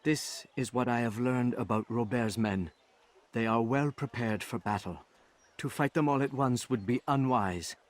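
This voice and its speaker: An actor playing a deferential, eager assassin informant in a video game, flattering and formal toward his master. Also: medium pitch, measured pace, natural voice. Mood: reverent.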